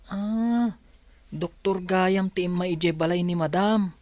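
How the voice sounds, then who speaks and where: A woman's voice: fairly low pitch, takes it slowly, darkish tone, no echo. A woman acting as an anxious female helper in a radio drama. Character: anxious female helper attending her madam.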